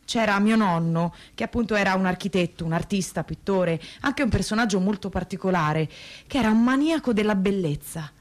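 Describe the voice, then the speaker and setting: An actress plays a thoughtful woman giving dramatic testimony about family memory. She speaks haltingly and reflectively, searching for each word. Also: medium pitch, natural voice. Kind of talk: dramatic staged reading of testimony.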